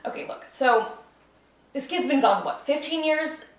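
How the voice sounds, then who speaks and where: A woman's voice: sits high, lively intonation, a little slow. Earnest, chatty woman, echoey room. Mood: earnest, defiant. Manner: insistent, rambling, self-deprecating. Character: earnest, stubborn, chatty woman who believes in ghosts. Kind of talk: naturalistic film drama acting. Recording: echoey room, distant mic, faint noise.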